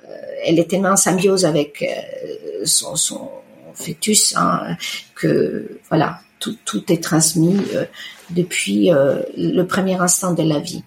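A middle-aged woman whose first language is Italian, talking about her work as a guest in an interview, pausing often with fillers and restarts. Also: fairly low pitch, expressive, vocal fry, a little hiss or hum. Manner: hesitant, many fillers and restarts. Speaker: iridologist guest. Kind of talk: conversational interview. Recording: roomy echo.

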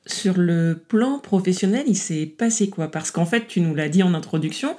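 A woman interviewer asking relaxed, conversational questions. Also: fairly low pitch, normal speed.

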